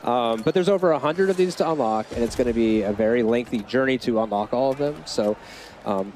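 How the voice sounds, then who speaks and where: A man gives casual explanations as a developer in a live interview. His pitch is middling, and there is clear background noise from a busy venue. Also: brisk, a little mellow. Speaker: game developer guest.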